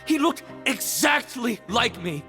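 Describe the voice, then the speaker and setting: A man's voice: extremely high pitch, animated, slow pace, pushed and bright, faint music behind, exaggerated voice. A man playing a frightened, insistent patient in an anime game, pleading and nervous. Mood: frantic. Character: frightened, insistent male patient desperate to be believed.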